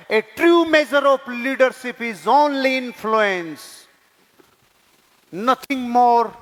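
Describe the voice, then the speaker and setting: A man's voice: sits high, fairly bright tone. A man giving an emphatic motivational seminar speech, repeating key phrases and putting rhetorical questions in colloquial speech sprinkled with English words. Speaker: motivational speaker and network marketing leadership trainer. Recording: long hall reverb.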